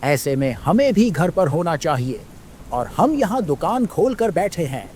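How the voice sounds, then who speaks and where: A man's voice: a little high, big pitch swings, measured pace, a little mellow, slight background noise. A man voicing a cautious young shop helper, whiny yet deferential, in a children's cartoon. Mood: complaining. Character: cautious young male shop helper, nagging his master. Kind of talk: Hindi children's moral-story cartoon dub.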